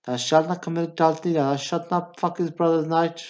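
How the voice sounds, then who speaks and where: A man's voice: a little high, fairly even intonation, fairly quick, a little mellow, highs cut off, natural voice. A male actor playing an indignant, profane older brother and war veteran in a radio play. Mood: preachy indignation. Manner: profane, blustering, preachy.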